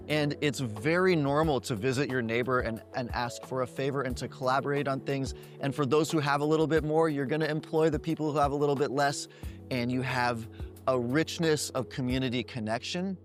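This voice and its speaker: A man from the United States, a business founder, answering interview questions thoughtfully with small hesitations. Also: medium pitch.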